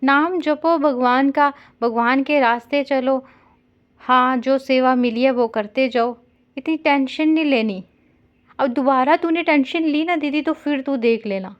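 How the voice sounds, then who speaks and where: A woman chatting casually on a call, consoling and gently scolding as she tells a simple story in a conversational way. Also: sits high, measured pace. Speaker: caller consoling an older sister figure. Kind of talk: casual phone chat with storytelling. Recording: dull lo-fi call recording.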